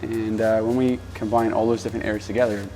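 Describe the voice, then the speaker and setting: A man who works as a performance coach, speaking in a measured, explanatory documentary interview. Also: lowish voice, flat delivery, mellow, faint music behind, low-quality recording.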